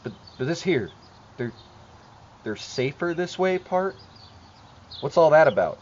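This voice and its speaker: A man's voice: a little high, sing-song, measured pace. A man playing a probing, persistent suburbanite questioning neighbors in an audio drama. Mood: alarmed, confused. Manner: questioning, insistent. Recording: muffled, clearly noisy track.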